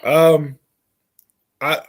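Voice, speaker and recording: A man's voice: on the high side, flat delivery, extremely slow. A man co-hosting a podcast in casual conversation, giving emphatic opinions and repeating phrases to make his point. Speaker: podcast co-host. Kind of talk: casual wrestling predictions chat.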